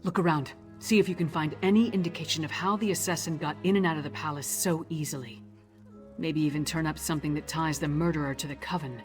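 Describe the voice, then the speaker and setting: A woman's voice: low-pitched, fairly even intonation, fast talker, close to an everyday voice. Woman acting fantasy game warrior woman, blunt, plain-spoken, hot-tempered, dutiful, music underneath. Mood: grim, resolved. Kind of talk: scripted video game dialogue.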